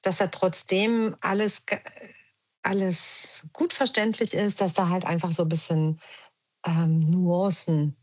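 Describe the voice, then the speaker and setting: A woman's voice: lowish voice, steady tone, a little slow, darkish tone, breathy edge. A woman with a German accent, a podcast guest speaking reflectively with many restarts and fillers. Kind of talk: reflective conversational podcast talk.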